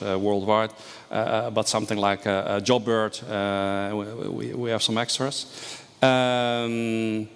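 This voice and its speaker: A man hosting a live online event and interviewing a guest, talking haltingly with many "uh"s. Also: medium pitch, clean tone, long reverb tail. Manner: halting, repeated "uh"s.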